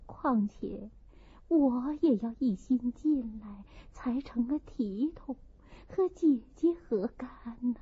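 A woman voicing a gentle, frail young concubine, tearful and resigned, in an audiobook. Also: on the high side, takes it slowly, breathy edge, exaggerated voice. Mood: earnest. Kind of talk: audiobook character acting. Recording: muffled, thin phone-like sound.

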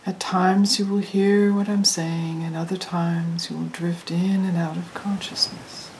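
Slow, hushed woman guiding meditation. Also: on the low side, rough recording. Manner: soothing, slow, often breathy near-whisper. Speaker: meditation guide. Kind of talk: guided meditation, hypnotic trance induction. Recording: hissy recording with steady noise.

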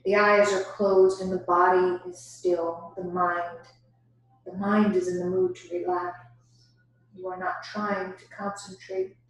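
A woman leading a guided yoga nidra meditation as instructor, giving deliberate instructions broken by pauses. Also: on the low side. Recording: very echoey room, distant microphone.

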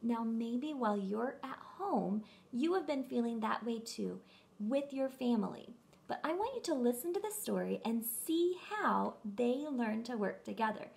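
A woman reads aloud for young children. Her voice is high and very lively, with some creak. She phrases things slowly and emphatically. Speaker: storybook reader for children. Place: room at home.

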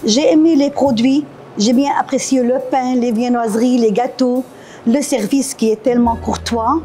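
Casting: woman in her fifties, Lebanese accent, soft mellow tone, interview guest, faint music behind. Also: on the high side, steady tone, normal speed. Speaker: guest, café co-owner. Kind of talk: conversational interview answers. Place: indoor café.

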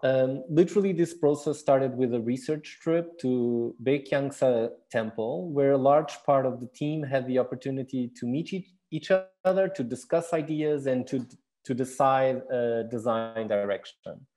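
Portuguese guy explaining his design work, mellow dark voice, lots of ums and restarts, webcam earphone mic. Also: medium pitch, normal speed. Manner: thoughtful, many fillers and restarts, gestures with hands. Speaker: architect and exhibition designer. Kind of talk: recorded talk answering questions.

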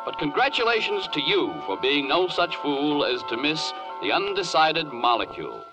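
Playful radio storyteller, animated pitch. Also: male voice, normal speed, poor audio. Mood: teasing, grand. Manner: theatrical, playful. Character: teasing, grandiose storyteller man opening a fantasy. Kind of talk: 1940s radio drama narration. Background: loud orchestral music.

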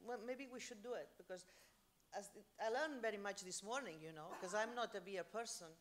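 A woman's voice: medium pitch, a little fast. A woman on a conference panel answering questions, hesitant and restarting sentences, on a handheld mic in a large hall. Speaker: panelist researcher. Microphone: handheld microphone.

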